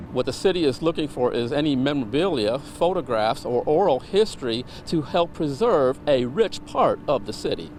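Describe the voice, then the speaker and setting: A male reporter delivers scripted local news in a mid-pitched voice. His intonation moves up and down in an animated broadcast style. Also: a little hiss or hum.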